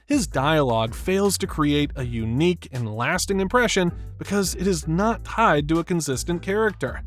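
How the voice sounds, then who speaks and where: A man's voice: on the high side, expressive, normal speed, soft background music. A man reading scripted video-essay narration in an emphatic, opinionated way.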